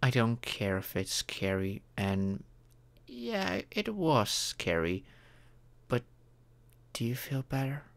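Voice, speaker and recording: A performer voicing a caring, earnest young romantic partner in an intimate ASMR roleplay, with deliberate pauses. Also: mid-range voice, big pitch swings, creaky, full character voice. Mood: reassuring.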